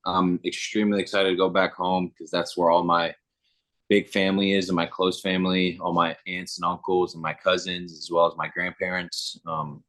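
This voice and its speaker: A young man in his twenties from Germany, answering interview questions in a relaxed, upbeat way with frequent filler words. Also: deep voice, normal speed. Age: 20s. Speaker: guest, football player.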